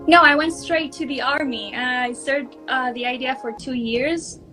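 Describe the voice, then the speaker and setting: A woman's voice: high-pitched, moderate pace, sharp tone, faint music behind, rough recording. A woman in her twenties from northern Israel, giving short, easygoing answers as a guest in a live-stream interview. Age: 20s.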